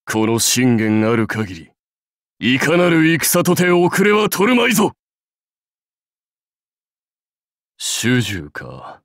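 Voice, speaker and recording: A man's voice: on the low side, expressive, extremely slow, no echo, studio quality, heavily acted. A man voicing a proud, seasoned samurai warlord in a mobile game, speaking in a dignified, archaic lordly manner. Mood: lordly, instructive. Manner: stately, archaic lordly speech. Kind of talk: Japanese mobile game character voice lines.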